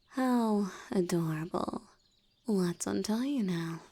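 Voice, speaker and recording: A woman voicing a seductive, commanding vampire hypnotist in an intimate audio roleplay, soft and breathy, often near a whisper, with a teasing, coaxing manner. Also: medium pitch, exaggerated voice. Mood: amused, teasing, then hypnotic, commanding. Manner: slow, coaxing, teasingly dominant.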